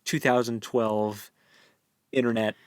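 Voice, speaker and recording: A man's voice: medium pitch, flat delivery, slow, clear, resonant tone, no echo. Dry-recorded young American man, chatting casually. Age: late 20s. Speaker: podcast co-host. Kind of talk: casual book club chat.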